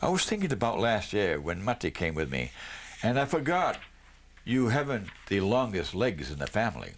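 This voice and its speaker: Male narrator as steady farm dad, kind and plain-spoken, gently encouraging, children's storybook reading. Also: fairly low pitch, moderate pace, slight background noise. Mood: kind, surprised.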